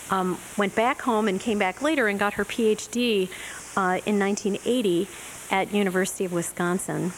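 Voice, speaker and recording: A woman introduces the day's speaker at a podium, working through her notes. She pauses on ums and corrects herself now and then. A faint hiss runs underneath. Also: medium pitch, poor audio. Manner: reads notes with frequent ums and self-corrections. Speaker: event host introducing speaker. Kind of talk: formal introduction.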